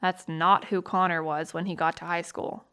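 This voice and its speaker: A woman's voice: fairly low pitch, flat delivery, normal speed, pushed and bright, clean tone. Thoughtful, fair-minded teenage girl played by a woman. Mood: reflective. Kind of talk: audio drama monologue, police interview testimony.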